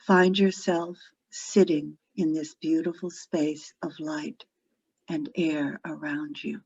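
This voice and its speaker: Woman doing guided meditation, lowish voice, really slow n gentle, long pauses, kinda muffled lo-fi sound. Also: flat delivery, husky. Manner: gentle, unhurried, phrase-by-phrase delivery with long pauses. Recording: dull lo-fi audio, roomy echo.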